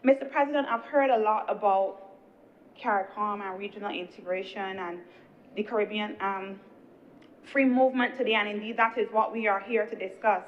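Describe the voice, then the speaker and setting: A woman senator with a Barbadian accent, giving an earnest, measured speech in the chamber. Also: medium pitch, a little hiss or hum. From Guyana, raised in Barbados. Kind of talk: parliamentary speech. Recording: dull lo-fi chamber broadcast.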